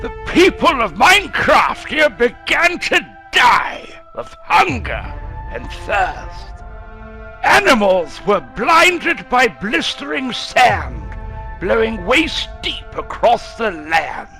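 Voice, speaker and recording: A very high-voiced, solemn fantasy storyteller, acted out by a man. The delivery is slow and grave, declaiming old legends with dramatic swoops, over clearly heard music. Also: big pitch swings, bright, forceful tone, full character voice. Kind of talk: scripted fantasy story narration.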